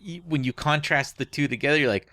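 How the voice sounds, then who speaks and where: A man's voice: fairly high pitch, fast, pushed and bright. A man co-hosting a casual book club podcast, speaking informally with a joking, crude sense of humour. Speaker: podcast co-host.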